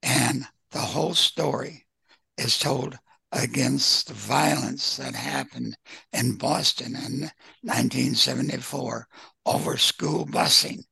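A man with a gravelly, raspy voice casually discussing books as guest host of a book group. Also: mid-range voice, slow pace, bright, forceful tone, no room sound.

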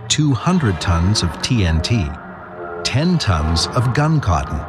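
Male history narrator, animated delivery, deep register, scripted documentary read. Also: slow. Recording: loud music bed under narration. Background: loud music.

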